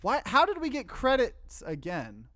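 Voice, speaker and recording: A man's voice: very high-pitched, huge pitch swings, unhurried, bright, forceful tone. A man hosting a video game playthrough, chatting casually as he comments on the game. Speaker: let's play host. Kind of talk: casual gaming commentary and reading game text aloud.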